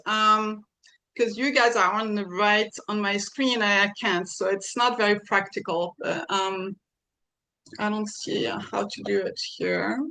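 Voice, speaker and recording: A woman's voice: mid-range voice, fairly even intonation, measured pace, vocal fry, bright, forceful tone, low-quality recording. A woman presenter at an online conference, apologetic and flustered while setting up her screen share.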